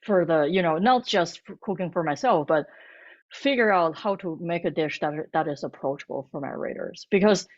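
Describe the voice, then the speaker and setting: A woman whose first language is Chinese, a cookbook author talking about her work in a halting way with many restarts. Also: on the low side, expressive, a little mellow, dull audio. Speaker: cookbook author and food blogger. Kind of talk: bookstore author talk.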